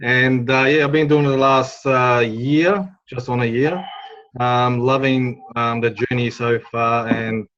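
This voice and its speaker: A man introduces himself on a video call in a relaxed, hesitant way, with a mid-range voice. The sound is somewhat muffled by the connection. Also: medium pitch, measured pace.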